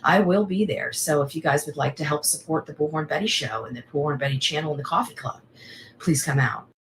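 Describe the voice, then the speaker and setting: A woman giving a halting, filler-heavy invitation to an event. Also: low-pitched, a little hiss or hum, rough recording.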